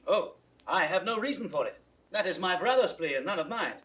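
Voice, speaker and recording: A blunt, cheeky young British gentleman in a Shakespeare audio drama. His voice sits on the high side and swoops freely. The delivery is bantering, irreverent and theatrical. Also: expressive, a little fast, rough recording. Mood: mischievous. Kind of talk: 1960s classical Shakespeare audio drama acting.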